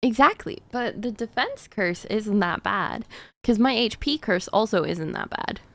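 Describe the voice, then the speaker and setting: A woman streaming a game and chatting in an easy, unhurried way, her voice gravelly with vocal fry. She swings up and down a lot in pitch as she talks, and she keeps answering chat with filler words and quick asides. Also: animated, no echo. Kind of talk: casual gaming stream chat.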